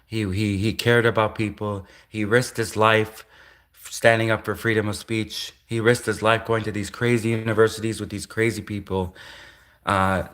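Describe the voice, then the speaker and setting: Man, nasal, bright tense tone, from Canada, livestream chat, halting and repetitive. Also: fairly low pitch. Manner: halting, repeats himself, restarts phrases. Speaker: livestreamer answering chat comments. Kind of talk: casual livestream talk to chat.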